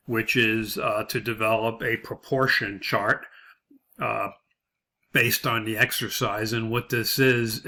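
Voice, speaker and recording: A man's voice: fairly low pitch, pushed and bright. A man giving an off-the-cuff tutorial as an instructor, explaining step by step and rephrasing his points. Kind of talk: off-the-cuff tutorial walkthrough of a spreadsheet.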